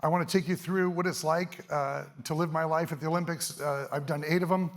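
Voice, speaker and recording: A middle-aged man, a little hoarse, giving a fast, energetic, casual talk to a live audience on an event stage. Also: a little high. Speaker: event speaker, sports photographer.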